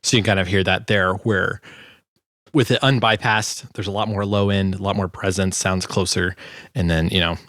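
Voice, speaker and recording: A man's voice: low voice, fairly even intonation, moderate pace, fairly bright tone, no echo, clean studio sound. A man giving a casual, informal tutorial as a sound designer, hesitant as he thinks aloud. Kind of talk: casual screen tutorial narration.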